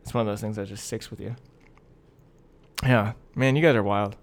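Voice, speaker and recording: A man's voice: fairly low pitch, steady tone, moderate pace. A young American man co-hosting a podcast, chatting casually in slangy, informal, bro-heavy language. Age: young adult. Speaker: podcast co-host.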